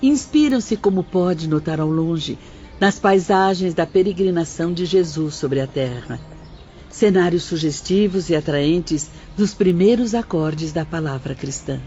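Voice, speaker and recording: An actress playing a kindly, serene female spirit guide who teaches gently and formally in an audio drama. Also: lowish voice, animated, unhurried. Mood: serene, instructive. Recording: dull audio with faint music.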